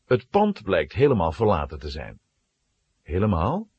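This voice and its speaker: A male narrator tells a children's story with dramatic delivery. His low voice is very husky and moves expressively, recorded dry and close. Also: huge pitch swings, unhurried, darkish tone, dry recording, muffled recording.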